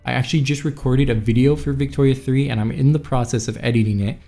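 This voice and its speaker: A man's voice: mid-range voice, steady tone, fast talker, creaky, dark tone, faint music behind. A man from Montreal chatting loosely with viewers on a live stream, rambling and reading out chat. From Montreal, Canada. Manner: rambling, scattered, reads chat aloud.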